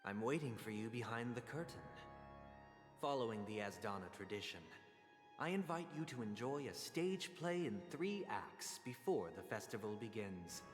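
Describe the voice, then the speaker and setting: A courteous game villain who hosts a grand play. His voice is fairly low and formal, delivered in measured, solemn phrases. It rings through a long hall reverb with music behind it. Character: courteous, composed male stage-play host antagonist, grandly formal. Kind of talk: theatrical scripted game narration.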